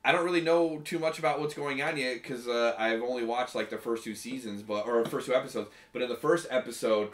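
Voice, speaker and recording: An American man in a casual podcast chat, joking and a bit halting as he talks. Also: medium pitch. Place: indoor room full of display cabinets. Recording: echoey room.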